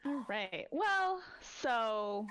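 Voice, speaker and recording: A woman talks casually as a player in a live tabletop game. Her voice is fairly high, with very lively, wide-swinging intonation. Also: drawn-out words, a little hiss or hum. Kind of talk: casual chat in live play.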